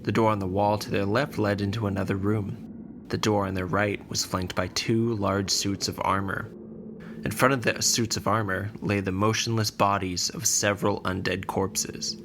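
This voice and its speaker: A man narrating a scripted fantasy story in a measured, deliberate way. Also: on the low side, level tone, noisy background. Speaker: podcast narrator.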